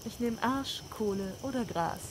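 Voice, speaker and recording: A woman's voice: fairly high pitch, lively intonation, normal speed, noisy background, close to an everyday voice. A woman playing a laid-back young drifter singer in a German game fan dub, casual and teasing. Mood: playful.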